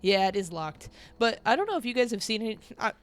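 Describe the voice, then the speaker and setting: A woman's voice: on the high side, very animated, brisk. A young woman streaming a video game, nasal from a cold, chatting in a rambling way with viewers. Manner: chatty, rambling, laughs often. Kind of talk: casual chat while playing.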